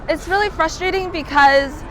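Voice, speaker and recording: Young woman from california, high voice, talks earnest and candid, faint street noise behind. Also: moderate pace. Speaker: interviewee. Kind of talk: earnest interview answers.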